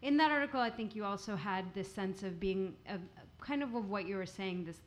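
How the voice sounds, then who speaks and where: A woman's voice: medium pitch, a little fast. A woman interviewing a guest, hesitant and searching for her words. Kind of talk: live interview questions.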